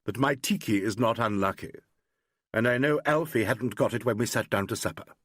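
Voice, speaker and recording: A man's voice: medium pitch, wildly swooping pitch, moderate pace, breathy edge, no echo, clean studio sound. A man voicing a poised but tense grown actress in a novel, speaking carefully under strain. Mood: controlled unease.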